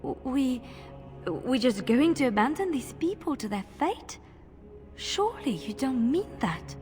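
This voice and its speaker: Slow-spoken, compassionate, pleading woman. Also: sits high, big pitch swings, darkish tone, faint music behind. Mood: pleading, sorrowful. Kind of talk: video game voice acting.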